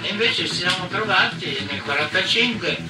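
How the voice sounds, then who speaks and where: A man's voice: high voice, lively intonation, normal speed. A man giving emphatic spoken testimony about history. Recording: echoey, distant mic, loud music over it.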